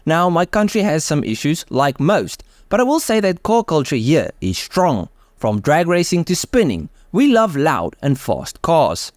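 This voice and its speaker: A man's voice: on the high side, very animated, measured pace, no echo, studio quality. A man with a South African accent narrating a car video, enthusiastic and mixing scripted lines with offhand asides. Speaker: car channel host.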